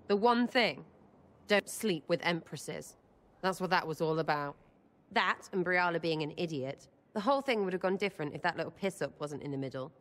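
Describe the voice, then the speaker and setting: A woman's voice: on the low side, fairly bright tone, big-room sound, natural voice. A woman voicing a blunt, irreverent young elf rogue, mocking and slangy, in a video game. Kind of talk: video game dramatic acting.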